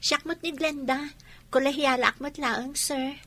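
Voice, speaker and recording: A woman's voice: high voice, fairly even intonation, measured pace, sharp tone, a little hiss or hum. A woman with an Ilocano accent playing a kindhearted, cautious young college girl in a radio comedy drama. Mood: polite, eager.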